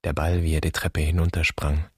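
Very deep husky voice, weary haunted son, sparse measured phrases, poetic radio play. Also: male voice, level tone, a little mellow. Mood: haunted remembering.

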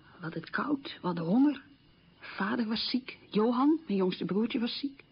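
Casting: middle-aged woman, very raspy, bitter survivor recalling hardship in radio drama, muffled old recording. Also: a little high, lively intonation, normal speed, exaggerated voice. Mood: grave, bitter. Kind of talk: radio drama monologue, testimonial recollection.

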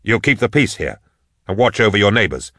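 A man's voice: on the low side, sing-song, a little fast, fairly bright tone, dry recording, studio quality. A man voicing a cool, authoritative male investigator in an audiobook, speaking in a terse, controlled way. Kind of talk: audiobook character dialogue.

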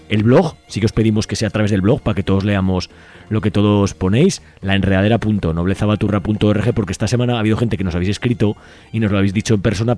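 A man's voice: lowish voice, dark tone. A man with a Castilian accent from Spain, presenting casually as a radio host in a chatty, colloquial way. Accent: Spanish from Spain.

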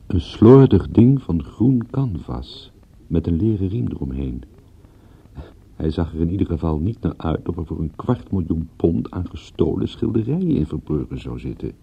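A dogged private detective, agitated and full of self-doubt. His voice is low and very husky, rising and falling freely, in radio-play style. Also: highs cut off, full character voice. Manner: stammering, restless, self-reproaching. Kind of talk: Dutch radio play dialogue and first-person narration.

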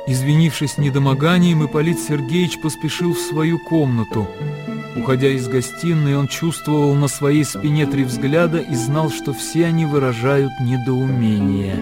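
A man's voice: mid-range voice, moderate pace. Male literary narrator over music. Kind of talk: dramatic literary narration for a radio play.

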